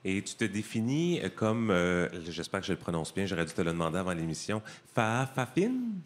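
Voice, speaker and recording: A man's voice: sits low, very animated, normal speed. A man hosting a talk show, interviewing in a casual, conversational style. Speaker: host.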